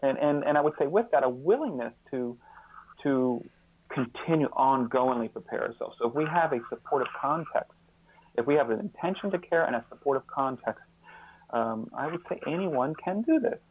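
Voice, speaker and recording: Man on a phone-like line, halting speech. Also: medium pitch, lively intonation, slightly rough. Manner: halting, earnest, repeats words as he thinks. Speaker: guest author and social scientist. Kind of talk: reflective interview talk. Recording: muffled phone-like narrow-band line.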